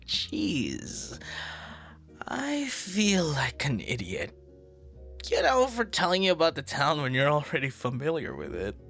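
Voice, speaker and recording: A man's voice: on the high side, huge pitch swings, unhurried, a bit bright, soft background music, exaggerated voice. A man performing an audio roleplay as a friendly, easily flustered young traveler chatting intimately and casually with a stranger, teasing and stammering playfully. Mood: sheepish, teasing. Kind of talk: intimate boyfriend-style ASMR roleplay, casual conversation.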